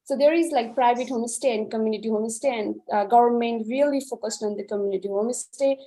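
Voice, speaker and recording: A woman's voice: on the high side, fast talker, mellow. A woman from Nepal answering a question as a speaker in an online webinar, earnest, often repeating and restarting words. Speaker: panel speaker.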